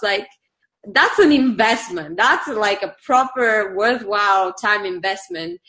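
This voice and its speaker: A woman's voice: sits high, fairly bright tone. A woman from Bulgaria with a Bulgarian accent, chatting casually in an interview, bubbly and laughing often between fillers. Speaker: online community manager.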